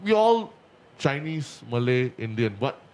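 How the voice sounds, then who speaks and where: A man's voice: medium pitch, a little slow, a little hiss or hum. A young Singaporean man with a Singaporean English accent whose mother tongue is Punjabi, speaking emphatically on a community panel. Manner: animated, emphatic, repeats points. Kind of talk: casual panel chat.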